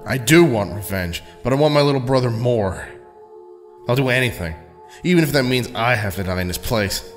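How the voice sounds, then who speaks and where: A man's voice: mid-range voice, expressive, normal speed, soft background music, close to an everyday voice. A man playing a determined young hero with an urgent, intense manner in an audio drama. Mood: desperate, resolute. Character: determined young male hero, desperate for his brother. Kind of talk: superhero audio drama acting. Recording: reverberant hall effect.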